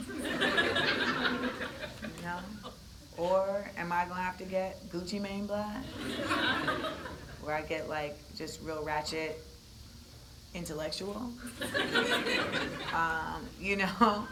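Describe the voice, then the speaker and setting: A woman in her thirties with a deep voice, giving a comedic storytelling talk at a university event with lots of asides. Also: takes it slowly, long pauses, very noisy. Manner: animated, comedic, conversational with asides. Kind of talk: storytelling talk to a live audience.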